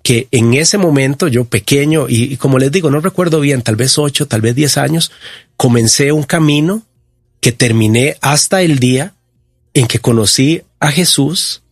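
A man in his fifties with a Costa Rican accent, giving personal testimony as an interview guest in a reflective, halting way. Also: medium pitch, husky, dry recording, studio quality. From Costa Rica. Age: 50s.

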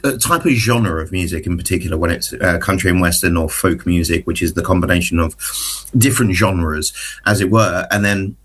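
A man with a husky, low voice interviews a guest. His questions wind on at length, stalling on "uh" and "um" before he gets to the point. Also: fairly quick, a bit bright. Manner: long meandering questions with many fillers.

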